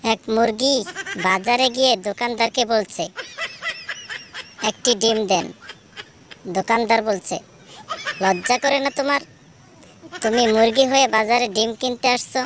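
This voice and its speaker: A woman's voice: on the high side, measured pace, pauses often, background noise, natural voice. A woman voicing a cheeky young pupil in a comedy cartoon. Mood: joking. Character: cheeky young pupil with smart-aleck answers.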